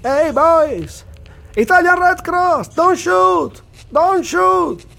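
A man's voice: very high-pitched, lively intonation, very slow, gravelly, full character voice. A man playing a sardonic, weary but daring Italian war journalist in a theatrical radio reading of a first-person diary. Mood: shouting, urgent. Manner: theatrical, swinging from muttering to shouting. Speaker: voice actor reciting.

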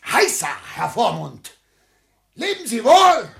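Radio drama, proud, embittered father of seventy: bright forceful tone, fry at the edges, declamatory delivery. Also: very high voice, huge pitch swings, slow, a little hiss or hum, full character voice. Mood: raging, anguished. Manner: declamatory, bitterly sarcastic. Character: embittered seventy-year-old father, proud, wounded patriarch. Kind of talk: theatrical classic German radio drama acting.

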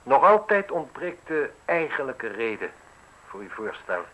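A man's voice: mid-range voice, sing-song, a little slow, fairly bright tone, low-quality recording. A man acting a ruthless, mocking journalist in a radio play, articulate and insinuating as he interrogates. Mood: relentless. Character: probing, mocking, ruthless male newspaper journalist needling an official.

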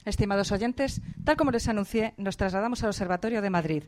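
A pompous female radio host, acted by a woman in a spoof radio play. She announces in a mid-pitched voice, officious and haughty, with a spiteful streak. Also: quick pace, darkish tone, natural voice. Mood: urgent, haughty. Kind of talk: radio theatre, mock news announcing.

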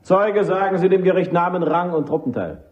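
A man's voice: high-pitched, a little fast, dull audio, lightly acted. A man playing a cocky, vain army major in a German radio play, a military prosecutor who speaks formally and with self-assurance. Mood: formal, probing.

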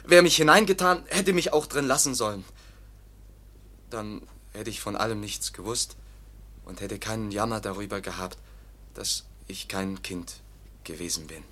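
A man's voice: lowish voice, normal speed, pauses often, sharp tone. An actor playing a fearful, childlike young man in a radio drama, speaking plaintively and anxiously. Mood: sorrowful.

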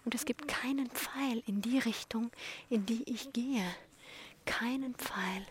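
A woman describing what she sees as she walks a forest trail, in a halting, observational way. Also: fairly high pitch. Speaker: poet or musician in an audio art piece. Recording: faint outdoor noise.

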